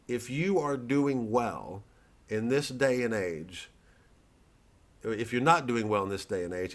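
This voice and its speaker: A man, a satirical comedian, rambling casually and thoughtfully in a halting, digressive monologue with some swearing. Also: medium pitch, a bit bright. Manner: halting, ruminative, digressive, profane.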